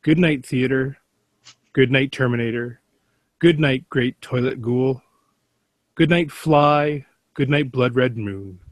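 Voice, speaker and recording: A man's voice: mid-range voice, slow pace, no room sound. A man on a podcast reading a parody bedtime story aloud. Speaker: podcast panelist.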